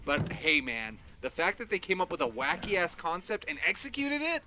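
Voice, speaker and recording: A man's voice: high-pitched, sing-song, moderate pace, background noise. A man co-hosting a stream, chatting casually and defending his taste in films. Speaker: podcast co-host. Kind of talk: casual chat.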